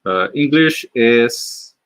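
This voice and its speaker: A man from the Philippines with a Filipino accent, chatting casually on a live stream while drawing, halting, with many fillers and restarts. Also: mid-range voice, expressive, a bit bright, no echo. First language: Filipino (Tagalog). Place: home.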